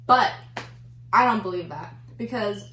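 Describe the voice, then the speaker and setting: A woman's voice: mid-range voice, measured pace, fairly bright tone, slight background noise. A young woman chatting casually as a co-host, filling her talk with constant "like". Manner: chatty, filler-heavy, 'like' constantly. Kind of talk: casual chat over a meal. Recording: echoey room.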